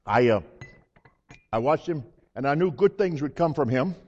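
A man's voice: fairly high pitch, lively intonation, moderate pace. A man playing a jovial elderly priest who chuckles and fusses over his guest in a dramatic monologue. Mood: warm, chatty. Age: older. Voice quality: chuckling, hearty and hospitable. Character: jovial elderly male priest hosting a friend. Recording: reverberant hall, slightly dull sound.

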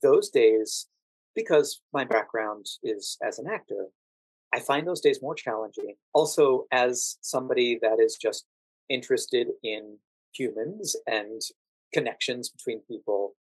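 An American man answering interview questions as a guest, explaining things in a measured, thoughtful conversational way. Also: medium pitch, lively intonation, slightly rough, dry recording, rough recording. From United States. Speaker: podcast guest, actor working as a standardized patient.

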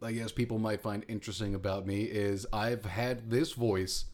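A man in his thirties with a deep voice, co-hosting a podcast in casual, self-mocking chat with many fillers. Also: fairly even intonation, moderate pace, a little mellow. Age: 30s. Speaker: podcast co-host.

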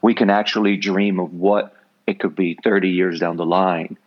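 A middle-aged Puerto Rican man with a very deep, low voice, speaking with a Puerto Rican accent. He talks calmly and thoughtfully as a guest, often humming along in agreement. The audio sounds muffled and lo-fi. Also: normal speed. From Puerto Rico. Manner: calm, reflective, frequent affirming hums. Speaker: nonprofit leader, podcast guest. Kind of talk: relaxed interview conversation.

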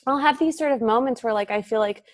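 A woman's voice: fairly high pitch, quick pace, no echo, clean studio sound. A woman in her thirties, an author and interview guest, talking in a casual, reflective way with many fillers. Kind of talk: casual podcast conversation.

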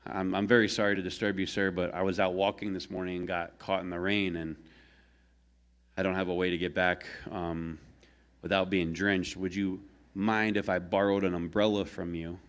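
A man voicing a polite queen who apologizes softly, in his own low voice. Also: little pitch movement, moderate pace, close to an everyday voice. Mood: apologetic. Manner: soft, apologetic and courteous.

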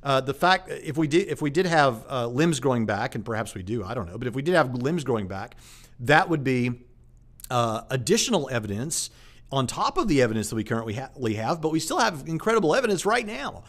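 A man's voice: medium pitch, sing-song, brisk. Chatty man preacher, filler-heavy. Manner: conversational with many fillers and restarts. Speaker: preacher and channel host. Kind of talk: casual apologetics talk to camera.

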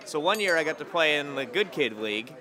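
A man's voice: fairly high pitch, animated, fairly quick. Dry-joking man in a busy diner. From Massachusetts, USA. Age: 20s. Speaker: stand-up comedian. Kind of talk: casual chat over breakfast. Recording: noisy crowded diner. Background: crowd chatter.

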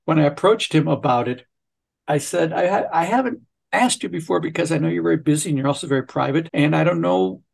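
A man's voice: fairly high pitch, quick pace, gravelly. A man hosting a podcast in a casual, rambling, conversational way. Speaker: podcast host. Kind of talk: casual chat and interview.

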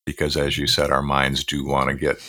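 Deep, bright-voiced earnest man. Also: completely flat delivery, no echo, studio quality. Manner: earnest, measured, with frequent fillers and restarts. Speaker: podcast co-host. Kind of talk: reflective podcast conversation.

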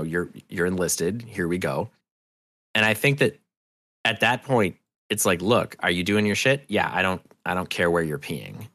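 A man's voice: deep voice, fairly bright tone, no room sound, clean studio sound. A man speaking as a podcast guest in a casual, musing, digressive way. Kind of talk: casual conversational chat.

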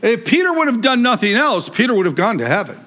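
Preacher, man, high pitch, big voice, animated conversational sermon. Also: quick pace.

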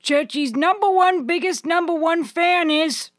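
A woman voicing a gushing, over-excited announcer in a playful podcast shout-out, exclamatory and singsong. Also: extremely high pitch, measured pace, dry recording, studio quality, pitched above the performer's own voice.

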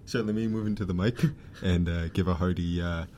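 A young man co-hosting a podcast, chatting casually with dry, deadpan jokes. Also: low-pitched, brisk, slight background noise. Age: young adult. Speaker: podcast co-host. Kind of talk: casual chat.

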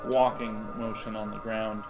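A man's voice: on the low side, level tone. Male game master, improvising narration with stop-and-start thinking, music under the voice. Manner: halting, thinking aloud. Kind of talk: narrating an improvised tabletop role-playing game.

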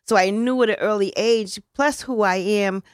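A woman who organizes community events, speaking in a loose, earnest, rambling way. She restarts her sentences often and slips into casual slang. Her voice sits at an ordinary pitch and is recorded dry and close, with no room sound. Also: moderate pace, no room sound. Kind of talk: casual interview talk.

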